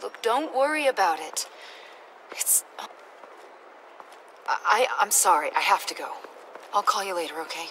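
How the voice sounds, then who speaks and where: An actress playing an earnest, troubled female government agent in a video game, speaking in a hushed, hesitant manner. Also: mid-range voice, big pitch swings, unhurried, pauses often, rough recording. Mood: flustered. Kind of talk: naturalistic video game acting. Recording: clear ambient background noise.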